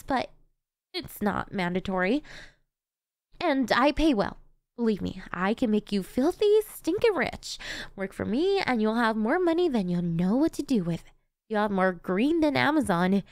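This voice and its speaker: Girl voice acting yandere villainess for asmr roleplay, high voice, really swoopy, obsessive and coaxing. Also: no echo, clean studio sound. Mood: eager, persuasive. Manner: theatrical, coaxing, intimate. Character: obsessive female supervillain, doting, menacing, easily flustered. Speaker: voice actress.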